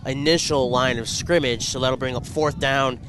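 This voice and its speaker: A man's voice: medium pitch, moderate pace, noisy background. Congested radio football announcer, man. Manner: rising excitement on plays. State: congested from a cold. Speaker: play-by-play announcer. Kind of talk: radio sports commentary.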